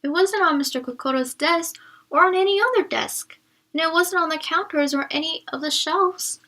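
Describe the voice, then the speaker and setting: A woman's voice: very high-pitched, normal speed, sharp tone, voice pushed up, full character voice. A woman voicing a shy, earnest and polite young schoolgirl in a children's storybook. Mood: worried.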